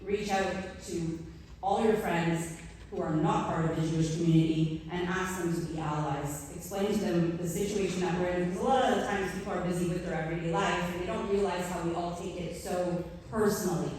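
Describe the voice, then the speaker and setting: A woman's voice: lowish voice, fairly even intonation, a little fast, a little mellow, slight background noise. A Canadian woman in her forties giving an earnest, conversational speech to a community audience, with filler words. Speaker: award recipient giving a speech. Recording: echoey hall, voice from far off.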